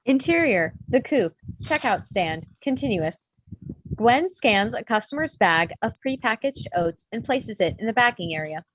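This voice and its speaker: Higher-pitched woman reading stage directions, dry sound. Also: very animated, measured pace, slightly rough, no room sound, lo-fi sound. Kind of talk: scripted narration.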